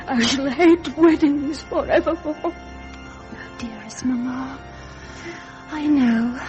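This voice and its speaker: A woman's voice: high voice, slow pace, music in the background. An actress playing a tender, emotional lady of royal circles in a period radio drama, with a British accent and a plaintive manner. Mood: tearful, tender.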